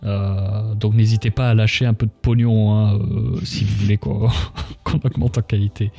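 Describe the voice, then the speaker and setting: Mellow-voiced man hosting a casual stream. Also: on the low side, little pitch movement, normal speed, vocal fry. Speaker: livestream host, interviewer. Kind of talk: casual chat, interviewing. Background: background music.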